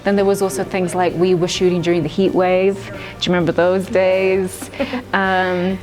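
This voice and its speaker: A young woman director speaking in a thoughtful, measured way, with plenty of fillers, in a festival interview. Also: fairly low pitch, little pitch movement, a little mellow, faint music behind, poor audio. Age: young adult. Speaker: writer and director.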